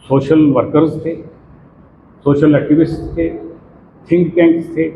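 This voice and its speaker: A man giving an explanatory political statement at a press conference, halting, in short phrases. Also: on the high side, little pitch movement. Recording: phone-like sound, echoey reverberant room, noisy.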